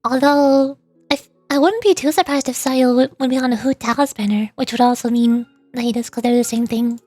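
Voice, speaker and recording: A woman streamer chatting casually about a video game in a rambling, playfully exasperated way, often halting and repeating words. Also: on the high side, fairly even intonation, mellow, no echo. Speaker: streamer, VTuber. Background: background music.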